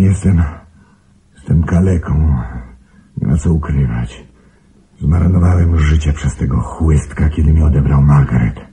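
A man's voice: very deep voice, mellow, heavily acted. An actor playing a crippled, vengeful man in a radio play, muttering and repeating himself in agitation. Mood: bitter. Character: crippled, vengeful older man obsessed with his former fiancée.

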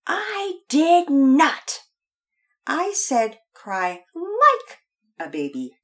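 A woman's voice: sits high, wildly swooping pitch, very slow, voice pushed up, heavily acted. A woman voicing a haughty, mocking young boy who snaps back condescendingly in a children's story. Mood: haughty denial.

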